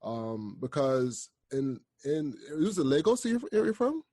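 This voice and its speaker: A man from Canada hosting a podcast, asking questions in a relaxed, soft-spoken way with fillers. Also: a little high, takes it slowly, no echo, studio quality. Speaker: podcast host. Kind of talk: casual podcast interview.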